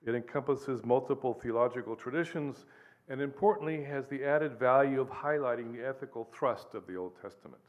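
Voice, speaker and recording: A man in his sixties, raised between the United States and Guatemala, reading an academic paper at a podium in a measured way. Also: medium pitch, highs cut off. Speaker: lecturer.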